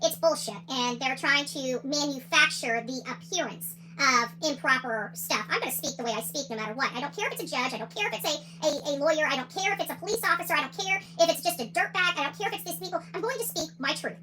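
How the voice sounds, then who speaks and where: Lady ranting on a livestream, high voice, talks really fast, fired up and repeats herself, bit of background noise. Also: expressive. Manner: combative, indignant, emphatic; repeats phrases for emphasis. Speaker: livestream host. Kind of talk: opinionated livestream commentary on court cases.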